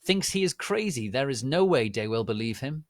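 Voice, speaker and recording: A sneering wizard professor villain, as a male narrator puts him on, loud and pitched high. He is mean, mocking and gloating, in a theatrical storybook style. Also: animated, normal speed, no room sound, studio quality, natural voice.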